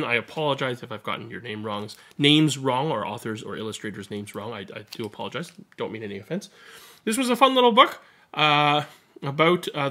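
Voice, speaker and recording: A man in his thirties doing an expressive picture-book read-aloud with casual commentary. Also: mid-range voice. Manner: expressive, animated storytelling. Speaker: read-aloud storyteller and host. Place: small indoor room at home.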